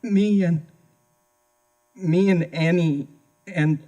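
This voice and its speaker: Man acting a distraught young husband, high-voiced. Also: steady tone, mellow, low-quality recording. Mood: guarded, evasive. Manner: halting, stammering, pleading. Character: distraught young husband under interrogation for murder. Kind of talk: audio horror drama acting.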